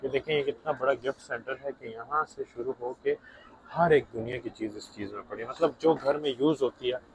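A man's voice: medium pitch, a little fast, crowd noise, poor audio. Chatty man vlogging in a store. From Pakistan. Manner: chatty, mixes in English words, talks to viewers as brothers. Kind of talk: casual shopping vlog narration. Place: large indoor discount store. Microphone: phone held by the filming hand.

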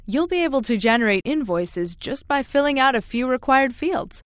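A woman narrates a product demo from a script. Her voice sits a little high. The recording is close and dry, with very little room around the words. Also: no room sound.

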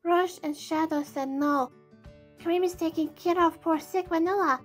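A woman's voice: very high voice, fairly even intonation, measured pace, soft background music. A woman voicing a sweet, lovestruck young cartoon hedgehog girl, girlish and theatrical, in an amateur comic dub. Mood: sad, lonely.

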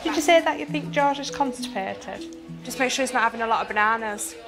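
A woman's voice: a little high, fairly quick, loud music behind the voice. A woman nurse with a Yorkshire accent, chatty and sing-song as she checks a toddler in a hospital cubicle.

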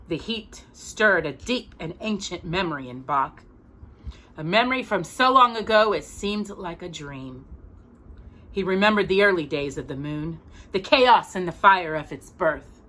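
A middle-aged woman reading a children's book aloud expressively, with deliberate, dramatic phrasing, as a storytime host. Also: mid-range voice, sharp tone. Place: small room at home with bookshelves.

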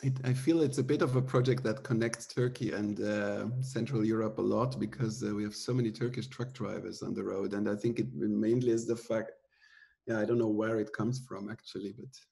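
A man's voice: fairly low pitch, fairly quick, vocal fry, breathy edge. Middle-aged guy on a webcam call, chatty and loose, lots of ums while he explains. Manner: relaxed, explaining with many fillers. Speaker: theatre director giving an artist talk. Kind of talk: casual online talk. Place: indoor room at home. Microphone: laptop webcam video call.

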